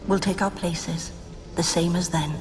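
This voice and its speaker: A woman voicing a composed, mysterious animal spirit, speaking in a measured, solemn way in a video game. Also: lowish voice, steady tone, lightly acted. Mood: wistful, matter-of-fact. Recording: long reverb, music behind.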